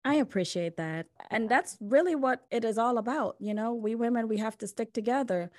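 A woman's voice: a little high, fairly quick, darkish tone, no room sound, studio quality. A woman hosting a podcast interview, upbeat and encouraging with her guest. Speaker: podcast host.

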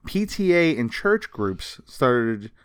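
An animated male podcast co-host tells stories in a casual, comedic style, swearing freely. His pitch swings widely as he goes, and the sound is dry and close, with very little room around it. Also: slow pace, smooth, no room sound. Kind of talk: casual comedic history chat from notes.

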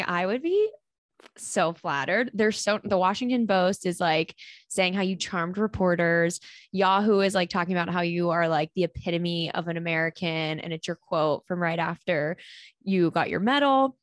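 A young woman hosting a casual podcast chat, bubbly and quick to laugh, with lots of "like" in her speech. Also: lowish voice, expressive, no room sound. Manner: bubbly, laughs often, heavy use of "like". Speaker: podcast host.

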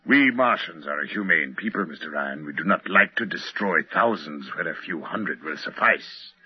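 An actor playing a polite, condescending Martian invader disguised as a human, in mid-century radio drama style. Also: male voice, rumbling low, lively intonation, moderate pace, husky, dry recording, full character voice. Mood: smug, measured. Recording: muffled old radio broadcast recording.

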